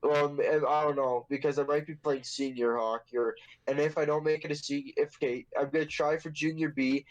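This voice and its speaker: A man's voice: a little high, flat delivery, a little fast, few pauses, highs cut off, faint music behind. A young man hosting a casual podcast, telling a story in a rambling, profane, joking way. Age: young adult. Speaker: podcast host.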